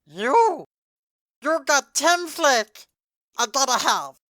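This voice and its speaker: Here is a small, scatterbrained animal barkeep from a cartoonish audio story. The voice is bright and very animated, pitched up, with childlike, broken phrasing and a cheery, excitable temper. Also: female voice, slow pace, no echo, exaggerated voice. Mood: wildly excited.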